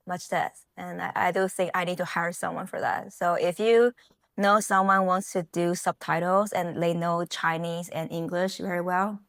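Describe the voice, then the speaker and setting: A young woman with a Taiwanese accent, her native Mandarin showing through small grammar slips, talks to camera in a relaxed vlog. Her voice sits a little low, and she keeps the flow loose with plenty of fillers. The sound is dry and close, with no room around her. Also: no room sound. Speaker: software engineer and vlogger. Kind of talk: casual talk to camera.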